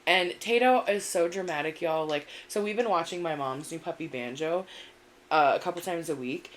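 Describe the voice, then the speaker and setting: A woman's voice: normal speed, slight background noise. A woman co-hosting a casual podcast, telling stories with lots of expression. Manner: animated storyteller, very expressive pitch. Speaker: podcast co-host. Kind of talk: casual chat.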